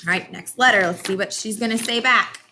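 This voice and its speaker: A woman's voice: a little high, brisk, sharp tone, a little hiss or hum. A young woman teacher doing a read-aloud lesson, explaining and asking questions as if to young children. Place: classroom, indoors.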